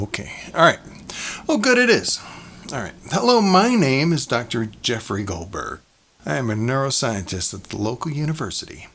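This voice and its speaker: An actor playing a fussy, rambling neuroscientist who records a personal log in a halting, conversational way. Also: male voice, medium pitch, gravelly, a bit bright, slight background noise. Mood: fumbling, checking. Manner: halting, digressive, conversational asides. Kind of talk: audio drama monologue as recorded log.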